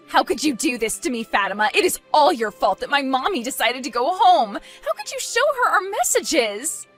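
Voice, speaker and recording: A spoiled young bride in a dramatized family story, acted out by a woman. Her voice is high and swings widely as she whines and pleads petulantly, with music playing underneath. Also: sing-song, moderate pace, fairly bright tone. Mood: accusing, upset. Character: spoiled, whiny, self-centred young bride, pleading with mother.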